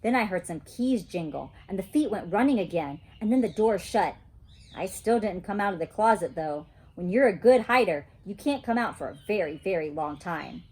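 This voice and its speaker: A woman reading a children's story aloud in an animated, childlike storytelling manner. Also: medium pitch, moderate pace, breathy edge.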